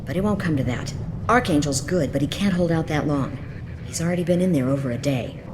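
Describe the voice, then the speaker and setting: A jaded female mercenary lieutenant, acted by a woman for a video game. The voice is low, frank and businesslike with some bitterness, over clear ambient noise. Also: fairly quick, lightly acted.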